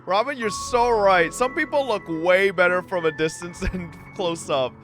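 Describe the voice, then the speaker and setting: A man livestreaming a game with casual, animated, teasing commentary. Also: high voice, unhurried. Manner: animated, teasing, giggly banter. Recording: stream with game music behind.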